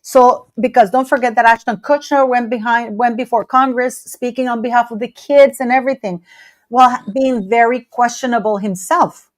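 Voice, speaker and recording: A woman's voice: fairly high pitch, fairly even intonation, normal speed. A woman hosting an interview, asking questions and reacting with disbelief. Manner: exclaims in disbelief, stumbles over questions. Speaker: podcast host. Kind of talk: casual interview chat.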